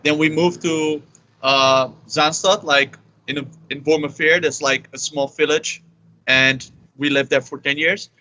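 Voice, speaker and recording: A man's voice: fairly high pitch, steady tone, measured pace, pushed and bright, rough recording. A young Dutch man with a Dutch accent, answering interview questions in a rambling, casual way, full of "you know" and "like". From Netherlands. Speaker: guest, language learner.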